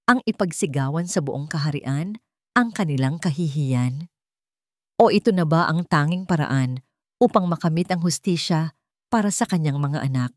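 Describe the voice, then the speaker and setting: A woman's voice: deep voice, a little mellow, dry recording, studio quality. A woman narrating a scripted story in a solemn, dramatic storytelling manner.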